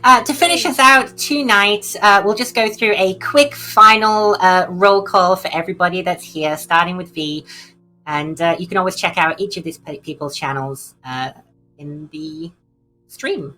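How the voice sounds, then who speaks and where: A woman's voice: on the low side, moderate pace, bright, forceful tone, soft background music, low-quality recording. A woman running a tabletop one-shot as game master, narrating with a theatrical flair. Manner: theatrical narration with dramatic readings.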